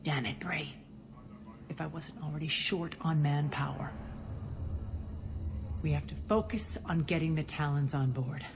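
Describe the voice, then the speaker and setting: Game villainess crime boss: low, imperious, sardonic, clear ambient noise behind. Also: female voice, a little slow, pauses often. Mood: irritated, determined. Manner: imperious, clipped, cool and sardonic. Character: ruthless, commanding female crime boss plotting revenge. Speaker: gang leader and commander. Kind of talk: video game cutscene acting.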